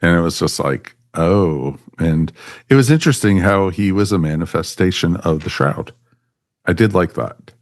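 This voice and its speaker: A man's voice: low voice, expressive, normal speed, no echo, studio quality. A man chatting casually and emphatically as a panelist on a review podcast, often repeating himself.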